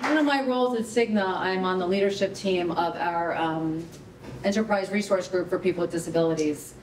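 A woman's voice: fairly low pitch, fairly quick. A woman speaking informally to a gathering, effusive and appreciative, recorded in an indoor hall. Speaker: foundation guest speaker and corporate team leader. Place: indoor rehearsal hall, standing before an audience. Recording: roomy, distant mic, clear background noise.

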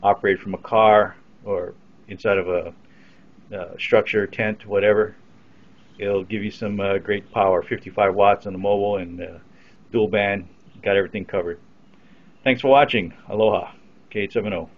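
A man's voice: on the low side, steady tone, moderate pace. A man giving an unscripted, matter-of-fact demonstration of equipment as a hobbyist, speaking from behind the camera. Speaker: amateur radio hobbyist demonstrating his equipment. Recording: dull lo-fi camcorder sound.

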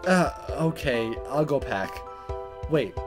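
A man's voice: medium pitch, slow pace. A man reading a visual novel aloud in a playful, dramatic style, with joking asides and stumbles. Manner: animated, chuckling, stumbling over the text. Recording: music playing behind. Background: game music.

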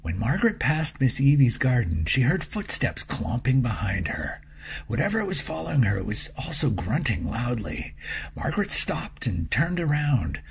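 A man reads a children's story in an expressive, deliberate storytelling manner, with a husky voice. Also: medium pitch, slight background noise. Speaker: author reading own children's story. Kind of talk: scripted storybook narration for young children.

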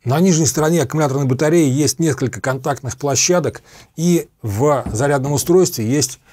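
A middle-aged man with a slightly husky voice, methodically explaining equipment in a review to camera. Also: a little high, fairly quick, a little mellow. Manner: methodical, explanatory, repeats points for clarity. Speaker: host of a radio equipment review channel. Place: small dim home office with computer monitors.

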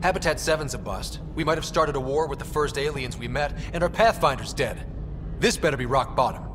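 An actor playing a troubled, sardonic young space explorer in a video game. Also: male voice, fairly high pitch, lively intonation, brisk, close to an everyday voice. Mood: bitter, frustrated. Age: young adult. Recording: echoey with noisy ambience.

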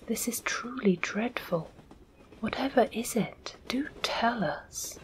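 A woman voicing an emotional, pleading young girl in a soft, raised whisper. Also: on the high side, takes it slowly, voice pushed up, poor audio. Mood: despairing.

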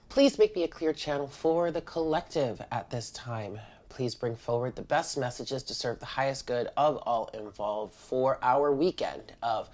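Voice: medium pitch, darkish tone. A tarot reader with a stuffy, congested nose, chatting informally and directly to viewers during a casual spiritual card reading. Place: indoor room at a table.

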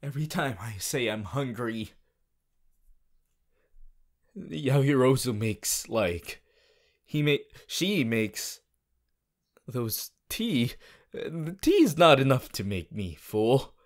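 A man's voice: mid-range voice, big pitch swings, slow, long pauses. A man voicing a goofy, whiny teenage anime boy, a clingy boyfriend rambling hesitantly in an audio roleplay. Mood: playful, pleading. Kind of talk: improvised audio roleplay addressed to the listener.

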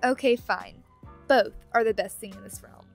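A woman playing a friendly, teasing young ghost roommate in an ASMR roleplay audio drama, chatty and expressive. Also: fairly high pitch, moderate pace, creaky, faint music behind. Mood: sheepish, defensive.

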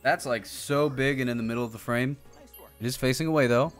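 A man's voice: medium pitch, fairly quick. A man in his thirties doing casual, jokey gaming commentary, thinking aloud and swearing now and then. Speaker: game streamer. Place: indoor streaming setup. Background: video game music.

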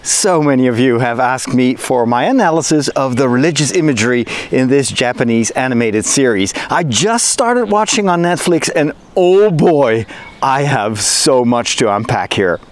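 Animated Dutch priest talking to camera. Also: male voice, moderate pace. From Netherlands. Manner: chatty and enthusiastic, lively pitch swings. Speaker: priest and video host. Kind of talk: casual explainer to camera. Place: outdoors in a forest.

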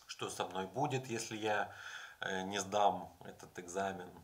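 A man in his forties talks to a camera in a small room about learning a language, relaxed and conversational, filling pauses with hesitation sounds. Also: low voice. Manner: conversational, frequent hesitation sounds, explaining with examples. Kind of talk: casual advice talk to camera. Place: small room indoors with curtains.

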